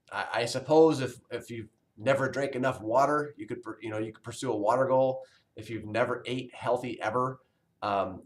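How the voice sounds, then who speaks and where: A man in his forties speaks to his online college students in a casual recorded video message. He rambles loosely, with plenty of ums, uhs and restarts. His voice sits at an ordinary middle pitch. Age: 40s. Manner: rambling, conversational, many fillers and restarts. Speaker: college health instructor.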